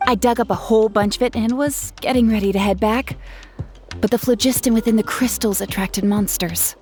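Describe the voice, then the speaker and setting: An earnest, friendly gem artisan, played by a young woman in a video game. She talks through her story in a chatty, open way, with a voice a little higher than most women's. Faint game music plays underneath. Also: steady tone, brisk, a little mellow, close to an everyday voice. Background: game soundtrack music.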